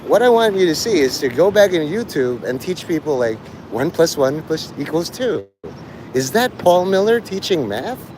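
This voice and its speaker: Male streamer, slightly high voice, loose and digressive delivery, blunt and sweary, noticeable street noise. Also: very animated, normal speed. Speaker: live streamer. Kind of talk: rambling casual monologue to listeners. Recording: clear outdoor traffic and vehicle noise.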